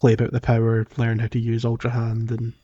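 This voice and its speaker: A man co-hosting a podcast, casually discussing a video game in a halting, thinking-aloud way. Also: medium pitch, monotone, dark tone. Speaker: podcast co-host. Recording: slightly dull, lo-fi podcast audio.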